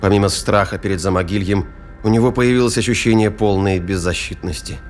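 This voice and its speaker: A man reading scripted fiction narration in a measured, literary storytelling manner. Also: fairly low pitch, faint music behind.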